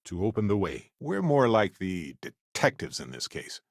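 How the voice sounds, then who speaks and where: An actor playing an affable, witty, talkative space explorer in a video game, with an expressive, wry, folksy way of speaking. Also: male voice, fairly low pitch, normal speed, gravelly, no echo, studio quality, heavily acted. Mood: light, amused. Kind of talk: scripted video game character dialogue.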